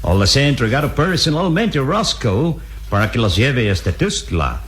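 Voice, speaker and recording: An actor playing a greedy, scheming expedition captain in a radio serial, mocking and falsely deferential. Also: male voice, mid-range voice, big pitch swings, moderate pace, fairly bright tone. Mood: falsely friendly. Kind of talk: old radio serial acting.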